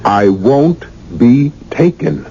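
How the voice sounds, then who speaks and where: An actor playing a frustrated, straying husband in a 1980s radio drama, sardonic and impassioned. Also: male voice, mid-range voice, lively intonation, slow pace, gravelly, warm tone. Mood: bitter, defiant. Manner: sardonic, exasperated. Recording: muffled, noisy old radio broadcast.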